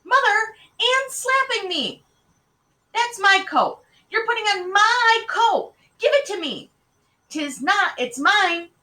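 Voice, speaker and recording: A woman's voice: high-pitched, wildly swooping pitch, measured pace, hardly any pauses, pushed and bright, voice pushed up, full character voice. A woman voicing a panicky, quarrelsome young girl in a children's storybook, squealing and whining urgently. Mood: bickering. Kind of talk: children's storybook read aloud.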